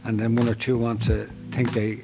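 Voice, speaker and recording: A middle-aged Dublin man who manages a homeless service, answering interview questions earnestly with many halting restarts. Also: fairly low pitch, monotone, vocal fry, noisy background. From Ireland.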